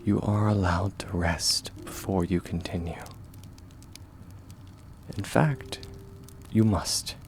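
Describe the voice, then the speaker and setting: A man voicing a kind, steadfast young warrior in a comfort ASMR roleplay, speaking gently and reassuringly in a measured, often hushed way. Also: low-pitched, fairly even intonation, slight background noise. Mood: soothing, earnest.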